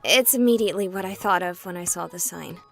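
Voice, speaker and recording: A woman playing an excitable, chatty young streamer exploring a haunted place in a visual novel, with an expressive, playful delivery. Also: lowish voice, quick pace, creaky, faint music behind. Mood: uneasy, somber.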